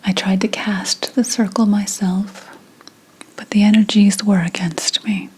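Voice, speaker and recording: A woman voicing a 17th-century witch who tells of her coven in a breathy ASMR whisper, hushed and deliberate. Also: medium pitch, level tone, poor audio. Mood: ominous, hushed. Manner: slow, hushed, deliberate storytelling. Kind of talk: whispered ASMR roleplay storytelling.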